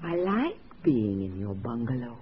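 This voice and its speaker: Slow, coy, teasing woman comrade. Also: extremely low pitch, wildly swooping pitch, heavily acted. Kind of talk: 1950s radio drama acting. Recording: muffled old radio recording, faint noise.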